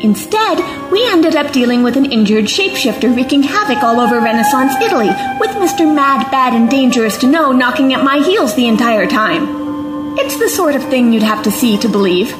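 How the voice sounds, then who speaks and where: A woman's voice: high voice, expressive, moderate pace. A woman playing a sceptical, outspoken companion to a time traveller in an audio drama, wry and questioning. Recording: roomy echo, music behind.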